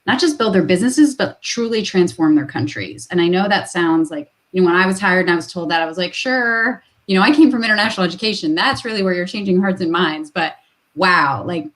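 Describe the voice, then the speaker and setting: A woman's voice: on the low side, a little fast, a bit bright. A woman talking business as a guest on a video call, upbeat and full of fillers, repeating her phrases for emphasis.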